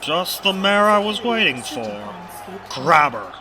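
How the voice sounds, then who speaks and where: A man's voice: a little high, very animated, takes it slowly, a crowd in the background, noisy background. A man acting in an audio drama as a bitter, menacing pony rebel leader, theatrical and taunting.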